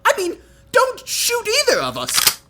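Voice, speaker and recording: An actor playing a prim, genteel immortal gentleman adventurer in a comedy radio play. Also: very high voice, huge pitch swings, unhurried, very noisy, exaggerated voice. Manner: prim, mannered, soothing.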